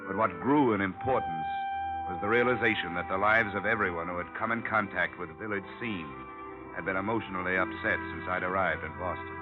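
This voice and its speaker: Casting: a man as world-weary detective narrator, very low voice, hard-boiled and dry, vintage radio sound over clear music. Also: brisk, natural voice. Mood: reflective, wry. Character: wry world-weary male private investigator narrating his case. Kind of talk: 1950s radio detective drama acting. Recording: muffled old radio recording, music behind.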